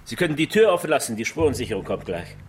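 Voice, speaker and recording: A man's voice: medium pitch, sing-song, very fast, faint music behind, lightly acted. An actor playing a wry male homicide detective in a naturalistic radio crime drama, speaking in a dry, casual way. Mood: matter-of-fact.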